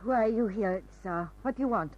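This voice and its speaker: An actress playing a composed, glamorous undercover agent with a slight foreign accent in an old radio thriller. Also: female voice, medium pitch, brisk, darkish tone, close to an everyday voice. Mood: wary, tense. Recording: muffled old radio recording, music behind.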